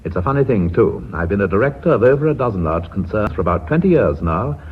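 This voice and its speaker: An actor playing a middle-aged, commanding British company director with a measured, formal way of speaking, in radio drama style. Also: male voice, on the low side, very animated. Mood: urbane, wry. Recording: muffled, hissy old radio recording.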